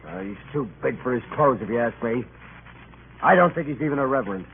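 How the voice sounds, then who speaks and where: An actor playing a bullying, resentful ship's mate in an old-time radio drama, blunt and aggressive in manner. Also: male voice, medium pitch, expressive, fast, a little hiss or hum, lightly acted. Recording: tinny, band-limited old radio recording.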